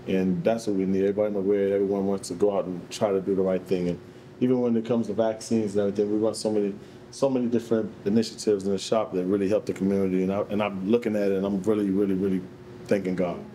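Man, very warm dark tone, fairly low pitch, informal testimonial, faint ambience. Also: fairly even intonation, fast, low-quality recording. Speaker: barber speaking at community health event. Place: large bare concrete rehearsal hall. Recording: faint background room noise.

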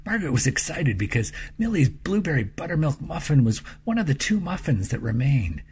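A man reads a children's story in an expressive, deliberate storytelling manner, with a husky voice. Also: on the high side. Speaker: author reading own children's story. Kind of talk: scripted storybook narration for young children.

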